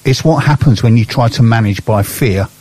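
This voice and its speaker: A man co-hosting a radio business show from the studio, chatting casually with relaxed, hesitant fillers. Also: mid-range voice, moderate pace.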